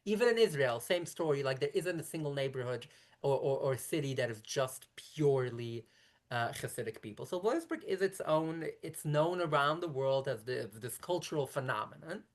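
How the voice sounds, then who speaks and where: A woman in her thirties from Brooklyn whose first language is Yiddish, speaking as a rabbi in a conversational interview and explaining at length in quick, self-correcting runs. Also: rumbling low, expressive. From Brooklyn, New York. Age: 30s. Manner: talks quickly in long explanatory runs with frequent restarts.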